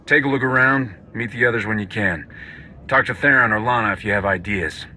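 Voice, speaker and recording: A man's voice: fairly low pitch, a little fast, pushed and bright, a little hiss or hum. A man playing a resolute, pragmatic rebel commander in a video game, firm and matter-of-fact. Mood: businesslike. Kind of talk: video game cutscene acting.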